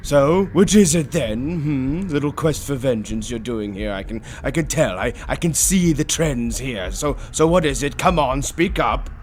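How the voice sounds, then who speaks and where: A man's voice: mid-range voice, animated, a little slow, hardly any pauses, slight background noise, lightly acted. A man voicing a suave, theatrical demonic bird tempter in a roleplay audio, drawling with mockingly polite, condescending villainy. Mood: mocking, amused. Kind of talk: scripted character roleplay audio.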